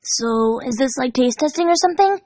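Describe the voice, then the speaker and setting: A woman acts out a cocky young female cartoon pony with a high, lively voice. She talks in a slangy, breezy, informal way, like a casual pal in a storybook tale. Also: a little fast, dry recording. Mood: doubtful, puzzled.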